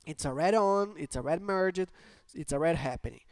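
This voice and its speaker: A man's voice: fairly high pitch, animated, a little fast, no echo. A man from Brazil speaking English with a Brazilian Portuguese accent, explaining tech topics in a hesitant, filler-heavy casual talk. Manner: hesitant, many fillers and restarts. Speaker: tech channel host.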